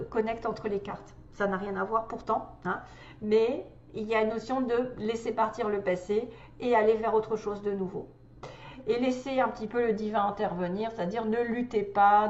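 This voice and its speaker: A woman with a slight cold talks through a tarot reading in a loose, chatty way, pausing on fillers and laughing softly. Her voice sits a little high. The sound is dull and bounces around an echoey room. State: has a cold. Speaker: tarot reader.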